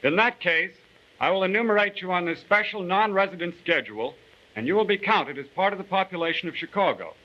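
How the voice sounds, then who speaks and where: A man acting as a businesslike census taker in a 1940s government film. He is a polite, methodical man with a fairly high voice who explains procedures formally. The old soundtrack is dull and lo-fi. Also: fairly quick, natural voice. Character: businesslike, polite male census enumerator questioning residents. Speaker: actor in a government training film.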